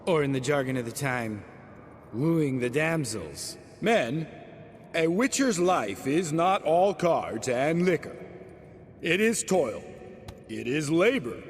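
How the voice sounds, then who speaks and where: A man's voice: fairly high pitch, very animated, slow, long reverb tail, slight background noise. An actor playing a drunk monster hunter who pompously mimics his old mentor in a fantasy game, mock-solemn and grandiloquent.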